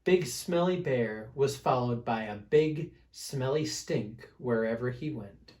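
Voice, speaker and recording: A man's voice: mid-range voice, darkish tone. A man reading a picture book aloud to children as a storyteller, with dramatic emphasis. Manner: dramatic emphasis, pauses to prompt listeners.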